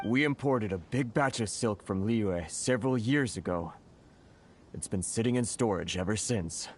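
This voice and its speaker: A man's voice: lowish voice, normal speed, slight background noise, natural voice. A man voicing a flustered, aggrieved merchant in a video game, hesitant and exasperated. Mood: dejected, exasperated.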